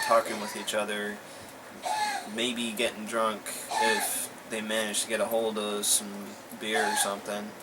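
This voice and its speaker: Young man, lowish voice, blunt sarcastic ranter, noisy recording. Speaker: co-host. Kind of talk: casual chat, ranting.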